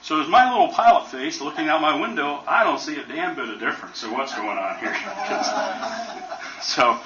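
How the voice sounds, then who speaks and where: A man's voice: fairly high pitch, animated, normal speed, heavy background noise. An older man in his seventies with an American accent, a retired helicopter pilot giving a casual, joking talk to a museum audience. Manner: folksy, joking storyteller. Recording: roomy, distant, dull recording.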